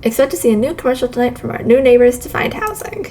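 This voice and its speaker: A woman's voice: fairly high pitch, expressive, fast talker, mellow, a little hiss or hum. A woman voicing an earnest reptilian resistance leader, formally addressing a meeting in a game read-aloud. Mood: proud, announcing.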